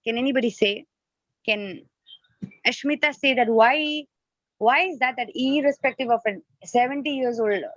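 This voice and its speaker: A woman who grew up speaking Nepali teaches a devotional class in a somewhat high voice. She explains by repeating and rephrasing, and puts questions to her listeners. The sound is dry and a little muffled, like an online call. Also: no echo. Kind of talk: interactive devotional lecture. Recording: dull lo-fi online call audio.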